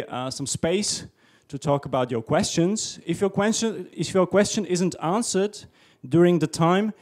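A man's voice: fairly high pitch, a little mellow. Man announcing the next speaker at a conference. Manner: formal, organizational. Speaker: event moderator. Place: large lecture hall. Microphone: handheld mic.

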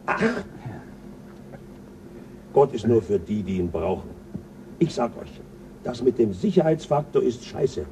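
An actor playing a crude, boastful male crewman on a spaceship, coarse and quick to flare up, in an old radio play. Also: mid-range voice, very animated, mellow, recorded from a distance, a little hiss or hum, low-quality recording. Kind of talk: 1970s radio play acting.

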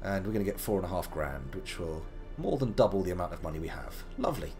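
Guy streaming a game, bit gravelly from a cold, goes up and down a lot, faint music behind. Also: low voice, sing-song, quick pace. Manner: chatty, playful, wandering asides. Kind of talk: casual live game commentary.

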